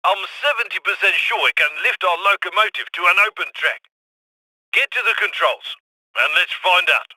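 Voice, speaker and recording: A high-voiced, piercing radio heist planner. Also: male voice, animated, normal speed, pushed and bright, slightly rough, no room sound, heavily acted, poor audio. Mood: focused instruction. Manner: encouraging, wry, addresses crew as friends. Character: male heist planner guiding crew, upbeat and wry. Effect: radio comms filter. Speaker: mission guide over radio. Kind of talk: video game voice acting, briefing.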